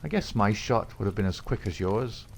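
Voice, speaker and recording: A man with a gravelly voice playing a cool, cocky young outlaw who talks calmly and defiantly. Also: on the low side, normal speed, a little hiss or hum. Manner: calm, coolly defiant.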